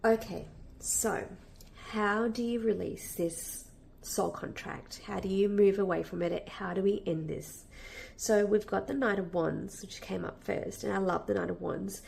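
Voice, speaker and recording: A woman with a cold, a bit nasal and croaky, giving a casual, reassuring tarot reading full of fillers and restarts. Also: medium pitch, fairly even intonation, unhurried, a little mellow, slight background noise. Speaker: tarot reader.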